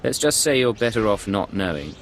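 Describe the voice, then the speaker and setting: An actor playing a hard, terse undercover intelligence agent in a video game, cool and controlled. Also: male voice, on the low side, sing-song, brisk, a little hiss or hum. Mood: cool intimidation.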